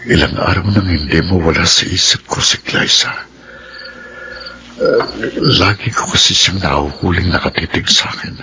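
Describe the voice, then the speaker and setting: Against a noisy bed of night crickets comes a deep-voiced Filipino stepfather in a radio drama. He is flustered and tempted, stumbling over his words as he resists. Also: normal speed, pauses often. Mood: troubled musing. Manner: hesitant, stammering.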